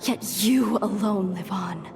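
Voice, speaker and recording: A woman's voice: mid-range voice, slow, vocal fry, over music. A woman voicing a bitter, reproachful accuser in a video game, with intense delivery. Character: bitter female accuser haunting a survivor. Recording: long hall-like reverb.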